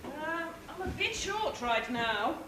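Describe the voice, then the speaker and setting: A woman's voice: sits high, big pitch swings, slow pace, sharp tone, full character voice. Gloomy stage king, female performer, hapless, theatrical, heard from afar in an echoey hall. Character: hapless, gloomy, penniless pantomime king, male. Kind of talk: British pantomime stage performance. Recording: echoey hall, distant mic, noisy.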